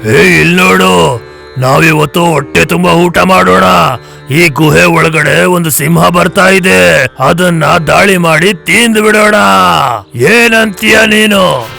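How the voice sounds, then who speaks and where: A clever old ox from a children's cartoon. The voice is fairly high for a male, with a gravelly edge and bold, forceful delivery. Music plays underneath. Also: slow pace, heavily acted. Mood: bold bluffing. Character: clever old ox, calm, bluffing boldly.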